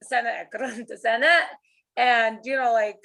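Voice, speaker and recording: A woman runs an online writing class over a video call, her voice high and her pace slow and unhurried. She laughs often and doubles back on her words as she coaxes the class along. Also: steady tone, vocal fry, fairly bright tone. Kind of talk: online class, encouraging and chatty.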